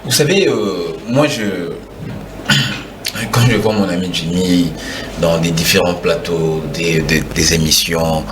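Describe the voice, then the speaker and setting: A man's voice: low voice, slow pace, noisy background. A man in his forties with a Congolese French accent, arguing heatedly as a guest in a televised political debate, repeating words as he presses his point. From Kinshasa, DR Congo.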